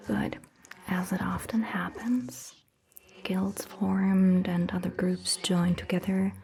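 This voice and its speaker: A woman playing a calm, caring vampire doctor in a whispered ASMR roleplay, speaking softly and breathily with gentle, measured pauses. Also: lowish voice, vocal fry, a little hiss or hum. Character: calm, caring female vampire doctor telling old lore. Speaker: ASMR performer.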